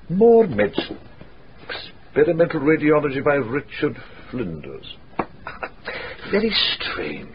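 An actor playing a bookish gentleman lawyer in a radio drama, formal, inquisitive and deliberate. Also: mid-range voice. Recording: dull radio recording, faint noise.

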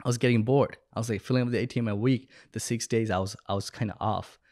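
A man's voice: medium pitch, fairly even intonation, quick pace, darkish tone, no room sound. A young man from Bangladesh talking casually and anecdotally as a podcast guest, close on a microphone. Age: young adult. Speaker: podcast guest, business owner and coach. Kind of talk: casual storytelling in interview. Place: room at home or studio, indoors. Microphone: close podcast microphone with headset.

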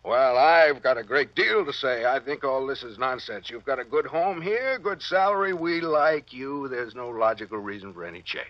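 Actor as flustered, animated pleading father, old radio. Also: mid-range voice, normal speed, hardly any pauses, dry recording, close to an everyday voice. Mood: coaxing. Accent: American. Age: middle-aged. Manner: wheedling, wordy, stammering. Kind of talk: 1940s radio acting. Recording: muffled vintage radio recording.

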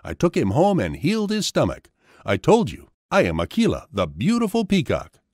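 A man's voice: on the high side, huge pitch swings, moderate pace, darkish tone, dry recording, clean studio sound, pitched above the performer's own voice. A man voicing a proud, boastful magical peacock, king of the birds, in a grand declarative manner for a children's story. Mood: reassuring.

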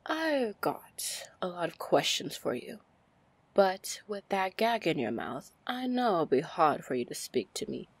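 A seductive female pirate lord, acted by a woman, speaks slowly and rather low, close and dry. She is commanding yet teasing, slipping toward breathy near-whispers in an ASMR fantasy roleplay. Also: very animated, no echo, full character voice. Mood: teasing, menacing. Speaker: roleplay audio performer.

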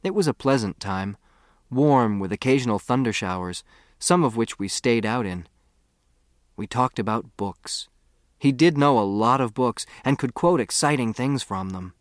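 A man's voice: medium pitch, lively intonation, moderate pace, no echo, studio quality. A man reading a first-person story as an audiobook narrator.

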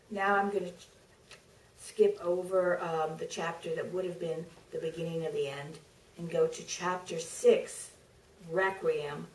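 A middle-aged woman author giving a measured, deliberate staged reading from a podium, with pauses for effect. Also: lowish voice, distant mic. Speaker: author reading from her book. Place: theater stage, filmed from the audience. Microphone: podium microphone heard through distant camera. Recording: roomy theater, picked up from afar.

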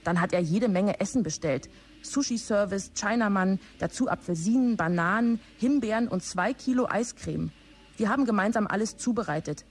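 A woman playing an aggrieved office assistant in a radio drama, confiding in a matter-of-fact way with a husky voice. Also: medium pitch, normal speed, natural voice.

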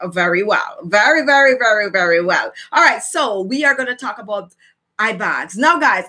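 Bright, high-voiced Jamaican woman chatting. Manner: chatty, emphatic repetition. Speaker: beauty vlogger hosting a live chat. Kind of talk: casual livestream chat to viewers. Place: small furnished room at home, indoors.

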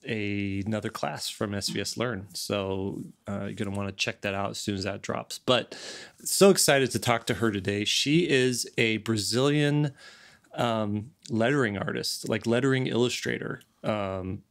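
Gravelly middle-aged man hosting a podcast. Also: lowish voice, moderate pace. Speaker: podcast host. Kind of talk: casual podcast chat. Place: home studio, on a video call. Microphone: large desk microphone, close.

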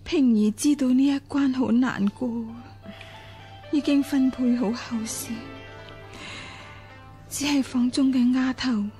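Ailing household lady, high soft voice, mellow, pleading, resigned, radio drama. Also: steady tone, takes it slowly, pauses often, faint music behind. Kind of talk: Cantonese radio drama acting.

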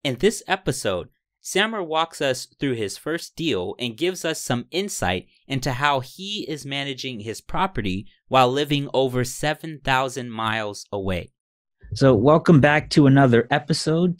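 A man hosts a podcast, speaking into a headset at a middle pitch. He reads a scripted introduction and then puts questions to his guest with an upbeat, eager manner. His voice sounds close and dry, with no room around it. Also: no room sound. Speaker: podcast host.

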